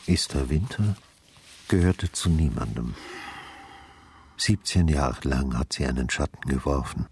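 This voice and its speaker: An actor playing a brooding, sardonic retired homicide detective, narrating a literary radio drama with deliberate, inner-monologue delivery. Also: male voice, no room sound, full character voice. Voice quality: husky, low.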